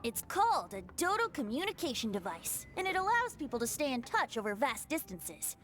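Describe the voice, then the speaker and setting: A woman's voice: high-pitched, big pitch swings, brisk, a bit bright. A woman voicing a teasing, youthful male bard in an anime video game, theatrical and coy. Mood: breezy, coaxing. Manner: theatrical, coy, sing-song. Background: music.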